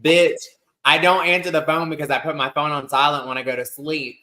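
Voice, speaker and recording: A man's voice: on the high side, steady tone, fairly quick, sharp tone. A man in his thirties co-hosting a casual podcast, chatty and rambling with lots of fillers. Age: 30s. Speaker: podcast co-host. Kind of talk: casual chat.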